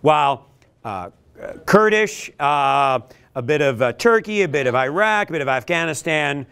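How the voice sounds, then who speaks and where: A middle-aged man from Canada leads a room of students, upbeat and animated, with sudden loud calls to the crowd. His voice sits a little high. It is picked up by a camera across a large classroom. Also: normal speed, creaky, clear, resonant tone. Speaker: leadership trainer. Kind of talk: energetic workshop presentation. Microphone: far camera.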